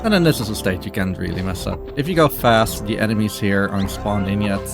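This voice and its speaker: A man's voice: on the low side, vocal fry. A man giving casual, rambling live gameplay commentary as a speedrunner, often interrupting himself. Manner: halting, rambling, self-interrupting. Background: game music.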